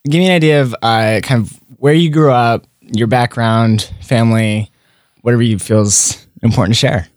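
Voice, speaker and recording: A man's voice: medium pitch, moderate pace, no echo, studio quality. A young man hosting a student podcast, reading a scripted intro and then interviewing with curious, encouraging follow-up questions.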